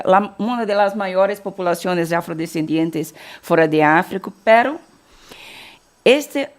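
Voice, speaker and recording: Brazilian woman from Bahia, lecturer, code-switching portunhol, measured academic delivery. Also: medium pitch. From Salvador, Bahia, Brazil. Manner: mixes Portuguese and Spanish, frequent restarts. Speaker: keynote lecturer.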